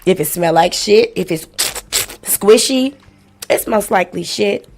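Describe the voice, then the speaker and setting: A woman's voice: medium pitch, takes it slowly, a little mellow, soft background music. A woman in her forties with a mid-range voice hosts a casual livestream. She talks straight to her viewers in loose, slangy speech. Her manner is blunt and playful, with swearing dropped in freely. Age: 40s. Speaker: livestream host. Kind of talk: casual livestream chat with house rules and shout-outs.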